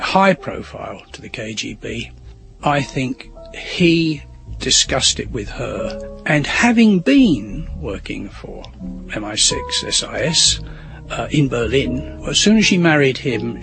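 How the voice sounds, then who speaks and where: An older man recalling events in a halting, deliberate way as a documentary interviewee. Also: medium pitch, vocal fry, fairly bright tone, low-quality recording. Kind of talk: documentary reminiscence.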